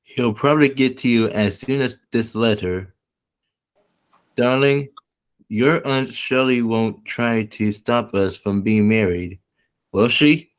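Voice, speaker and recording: Man playing a slow-spoken, ardent young suitor. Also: medium pitch, smooth, lightly acted. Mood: joyful, loving. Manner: earnest, pleading, deliberate. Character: ardent young suitor writing love letters from abroad. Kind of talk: 1940s radio play acting.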